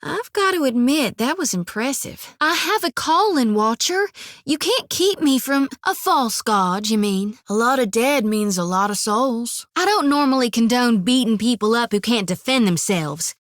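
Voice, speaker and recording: A woman's voice: on the high side, animated, moderate pace, a bit bright, no echo. An actress playing a cheerful, devout young female priest who talks in a folksy drawl full of old-fashioned country slang. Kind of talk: video game voice lines. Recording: dry studio booth.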